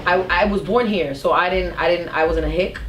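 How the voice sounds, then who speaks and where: A woman, a podcast guest telling stories in a lively, profane, restart-filled way. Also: lowish voice, fairly quick, a little hiss or hum. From Harlem, New York. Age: 30s. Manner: animated, profane storytelling with many restarts. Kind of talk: casual chat. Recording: echoey room, mic from a distance.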